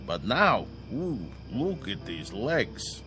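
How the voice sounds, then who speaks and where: A man's voice: mid-range voice, sing-song, slow pace, bright, forceful tone, faint music behind, exaggerated voice. An actor in a radio drama playing a frail, folksy old man who is boastful yet hesitant and full of wonder. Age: older.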